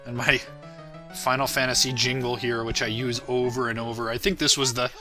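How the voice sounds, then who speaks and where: A man's voice: mid-range voice, flat delivery, brisk, music in the background. A man giving casual, self-deprecating commentary on his own video as its creator. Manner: self-deprecating, chuckles between phrases. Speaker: creator of a parody series.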